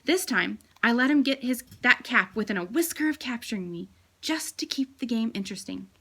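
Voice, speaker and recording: A woman's voice: fairly high pitch, lively intonation, fairly quick, fairly bright tone, slightly rough, heavily acted. A woman voicing a small, eager male pet hamster who tells his adventures in a playful storybook manner.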